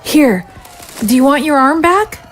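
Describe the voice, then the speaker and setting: A worried young girl, played by a woman, high-pitched and slow to get her words out. She falters and swings up and down in an audio drama. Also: expressive, faint music behind. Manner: hesitant, faltering. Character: hesitant, worried young girl guarding zombie prisoners.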